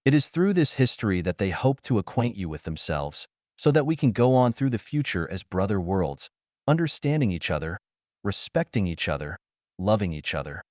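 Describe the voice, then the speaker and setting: A pompous male announcer and boss acted out by a man reading a story holds forth with grandiose dignity. The voice is sonorous and swings widely in pitch. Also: sing-song, normal speed, a little mellow, no room sound, studio quality. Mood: grand, solemn.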